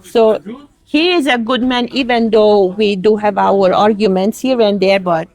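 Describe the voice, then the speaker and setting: A woman in her sixties from Hungary, speaking English with a Hungarian accent as a diner employee, frank and unhurried. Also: mid-range voice, darkish tone. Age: 60s. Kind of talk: casual interview.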